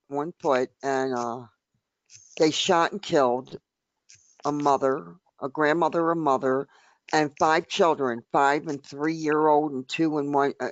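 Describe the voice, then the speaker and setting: Older guy, kinda high voice, stops and restarts a lot, dry muffled recording. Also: no room sound. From United States, near Washington D.C. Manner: halting and emphatic, with many restarts. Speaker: open mic speaker. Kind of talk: casual group discussion.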